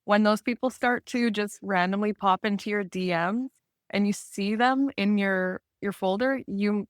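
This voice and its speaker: Woman podcast cohost, medium pitch, chatty and reflective, laughs easy while talking, dry sound. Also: a little slow, clear, resonant tone, no room sound. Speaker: podcast co-host. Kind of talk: casual reflective spiritual chat.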